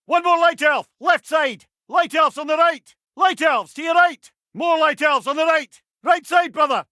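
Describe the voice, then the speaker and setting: A man's voice: very high-pitched, bright, forceful tone, no echo, clean studio sound, heavily acted. A man voicing an alert video game battle companion who barks short, urgent warnings to his brother-in-arms. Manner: urgent, clipped, barking warnings. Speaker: game companion character calling out enemy positions.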